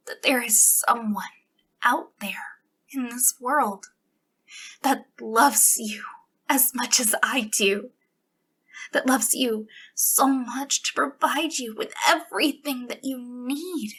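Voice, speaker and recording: A woman playing an obsessive, sweetly menacing female captor in an ASMR roleplay, speaking intimately in a breathy voice that slips into whispers. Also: high voice, pushed and bright, full character voice, low-quality recording. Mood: possessive, coaxing. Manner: breathy delivery slipping into whispers, lingering pauses.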